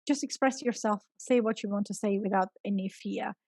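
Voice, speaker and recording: A middle-aged woman speaks as a guest teacher in a conversational interview. Her medium-pitched voice has a gravelly creak, and she stresses and repeats her key points. The sound is dry and close. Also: brisk, darkish tone, no echo. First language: Georgian. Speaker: guest, experienced English teacher.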